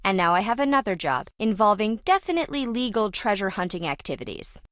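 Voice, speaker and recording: A woman's voice: mid-range voice, very animated, fairly quick, a bit bright, no room sound, clean studio sound. An actress playing a brash, slippery woman treasure hunter in a video game, with wry, breezy, slangy teasing. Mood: dry, evasive.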